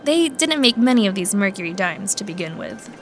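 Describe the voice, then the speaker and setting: An actress playing a courteous, careful coin appraiser who explains things politely and in measured phrases in an audio drama. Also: female voice, mid-range voice, sing-song, soft background music. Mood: polite, explaining.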